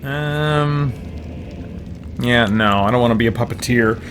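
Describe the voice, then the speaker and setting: A man's voice: medium pitch, measured pace, background noise. A man streaming a role-playing game, giving casual commentary and muttering his thoughts as he goes. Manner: thinking aloud, muttering to himself.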